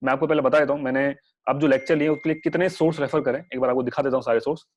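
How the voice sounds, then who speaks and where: A man's voice: medium pitch, fairly even intonation, very fast, a little mellow, lo-fi sound, slight background noise. A young man teaching a live online class with a sore throat, chatty and reassuring, mixing English words into Hindi. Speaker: online exam-prep teacher.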